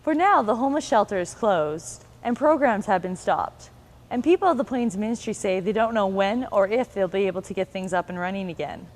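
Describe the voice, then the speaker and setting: A woman reading a scripted news report as a student reporter, in a measured reporting cadence. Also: medium pitch, expressive.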